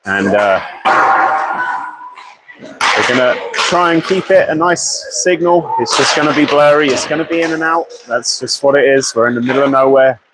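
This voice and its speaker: A British man with a croaky voice from a cold, chatting and then commentating live on an amateur ice hockey game from a small media box. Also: medium pitch, normal speed, heavy background noise. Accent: British English. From Britain. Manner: chatty and apologetic, excitable on goals.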